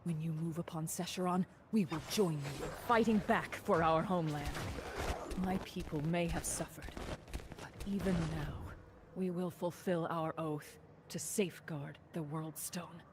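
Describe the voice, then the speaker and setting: Low, unhurried, proud warrior woman. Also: background noise. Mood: resolute, proud. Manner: solemn, earnest, resolute. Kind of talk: scripted fantasy game voice acting.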